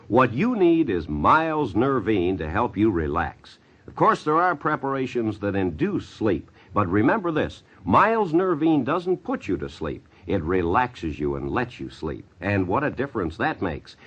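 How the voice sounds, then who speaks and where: Lively lowish-voiced American radio pitchman. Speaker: commercial announcer. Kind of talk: 1950s radio ad read. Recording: muffled 1950s radio recording.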